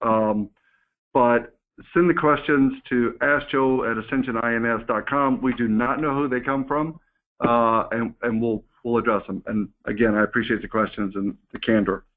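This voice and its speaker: A man's voice: medium pitch, fairly even intonation, normal speed. Man presenting over a narrow phone line. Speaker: company executive hosting a staff call. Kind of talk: informal business presentation, reading and answering staff questions. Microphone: conference call phone line.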